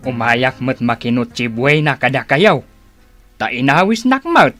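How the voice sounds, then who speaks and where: A genial older uncle in a radio drama, plain-spoken and homespun. He draws his words out slowly with a lively rise and fall, over clear background music. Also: mid-range voice, dry recording. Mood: agreeable.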